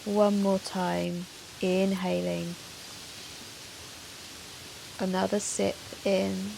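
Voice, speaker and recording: A woman yoga teacher leading a guided meditation in a soothing, measured, gentle manner with long pauses. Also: mid-range voice, little pitch movement, gravelly. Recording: soft hiss of rain noise. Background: soft rain sound.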